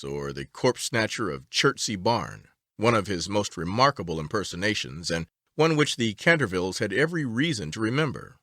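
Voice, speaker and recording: A man reading a story aloud as an audiobook narrator, with a measured, expressive storytelling delivery. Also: lowish voice, dry recording, studio quality.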